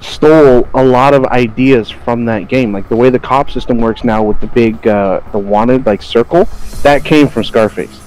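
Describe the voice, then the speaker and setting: A man's voice: mid-range voice, normal speed, darkish tone, low-quality recording. A man co-hosting a podcast, chatting casually about video games in colloquial language with plenty of swearing. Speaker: podcast co-host. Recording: music playing behind the voice. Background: background music.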